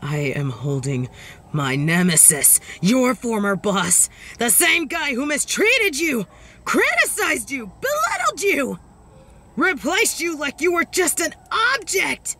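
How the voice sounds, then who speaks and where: A woman's voice: high voice, very animated, takes it slowly, a little hiss or hum, heavily acted. A woman voicing a bitter, sardonic, vengeful supervillain in a dramatic audio roleplay, theatrical and menacing. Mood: furious, indignant. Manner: theatrical, menacing, swinging from hushed to shouted.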